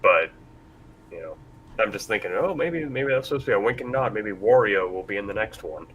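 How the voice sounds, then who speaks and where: A man's voice: fairly low pitch, animated, brisk, fairly bright tone, highs cut off. A man hosting a casual panel discussion in a rambling, self-deprecating way. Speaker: panel host. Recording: faint background noise.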